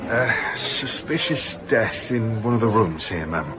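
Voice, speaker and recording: A man's voice: on the low side, expressive, measured pace, background noise. An actor playing a deferential, matter-of-fact male police officer giving clipped reports in a radio drama. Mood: businesslike. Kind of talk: naturalistic radio drama acting. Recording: party crowd chatter behind.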